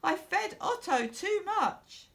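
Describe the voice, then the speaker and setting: A woman voicing an anxious, excitable young boy in a storybook, with an urgent, exclamatory delivery. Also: extremely high pitch, slow, pitched above the performer's own voice, heavily acted. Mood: pleading.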